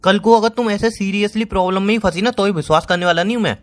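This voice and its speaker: A man's voice: sits high, very fast, lightly acted. A man playing a protective young boyfriend in a phone-call drama, urgent and commanding, repeating himself insistently. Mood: angry scolding. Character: young protective boyfriend, bossy and easily exasperated. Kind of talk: acted romantic phone-call roleplay.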